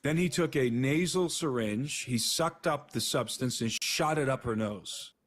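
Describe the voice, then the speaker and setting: Slow-talking man recounting a story. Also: mid-range voice. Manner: plain step-by-step retelling. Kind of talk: telling a story.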